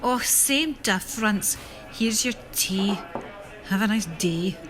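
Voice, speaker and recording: A woman's voice: medium pitch, animated, slow pace, over music. A woman playing a surly, bored young waitress in a comic radio play, offhand and sullen. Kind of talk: radio play comedy acting.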